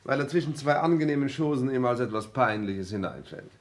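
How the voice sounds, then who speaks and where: An actor playing a foppish, blasé, snobbish baron in a 1960s radio drama, affected and mannered in delivery. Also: male voice, medium pitch, expressive, fairly quick, natural voice. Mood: blasé, amused.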